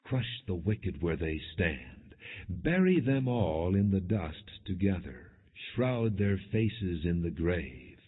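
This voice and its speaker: Deep, husky male scripture narrator, phone-like sound. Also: big pitch swings, takes it slowly. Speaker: audiobook Bible narrator. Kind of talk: scripted scripture narration, non-dramatized reading. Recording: muffled, narrow phone-like sound.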